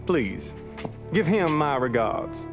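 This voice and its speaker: An actor playing a folksy bootlegger with a rural Kentucky accent in a game cutscene. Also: male voice, a little high, sing-song, slow pace. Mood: friendly. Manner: folksy, polite. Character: affable, goofy male bootlegger from rural Kentucky. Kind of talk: dramatic game cutscene acting. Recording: background music.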